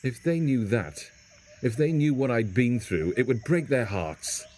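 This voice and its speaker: A man playing a gruff, stubborn, wounded castaway in an audio drama, guarded and sardonic. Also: medium pitch, animated, measured pace, a little mellow, slight background noise, natural voice. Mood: anguished, resigned. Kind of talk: fan audio drama acting.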